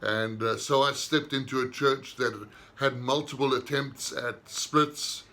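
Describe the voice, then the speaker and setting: A man, a minister giving an unhurried personal testimony in short, reflective phrases. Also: medium pitch, fairly even intonation, bright, forceful tone. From southern Africa.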